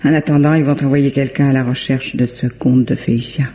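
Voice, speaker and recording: A woman's voice: a bass voice, fairly even intonation, a little fast, warm tone, slight background noise. An actress playing a brave, devoted woman sleuth in a French radio drama, speaking earnestly and with emotion. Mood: grave, sad. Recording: muffled old radio recording, faint music.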